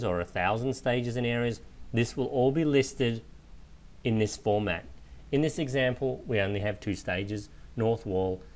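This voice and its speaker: A man giving a methodical, explanatory software walkthrough in the manner of a trainer. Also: medium pitch. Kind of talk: instructional screen walkthrough narration. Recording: clear steady background noise.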